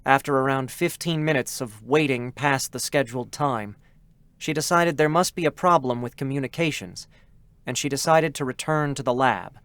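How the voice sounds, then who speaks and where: A man's voice: medium pitch, moderate pace, fairly bright tone, no room sound. A man reading scripted story narration in a dramatic style, as the narrator.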